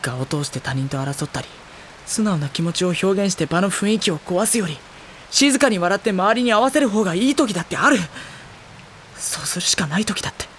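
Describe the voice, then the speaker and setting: A man playing a gentle, wounded high school boy in an audio drama, speaking in a soft, introspective, earnest way. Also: lively intonation, a little fast, slight background noise. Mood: hurt, brooding. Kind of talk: Japanese audio drama acting with introspective monologue.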